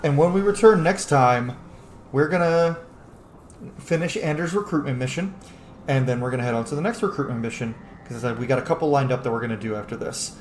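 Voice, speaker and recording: A man's voice: mid-range voice, a little fast, reverberant, soft background music. A man, a young adult, hosting a gaming video with casual, sweary, emphatic commentary. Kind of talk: casual let's-play commentary.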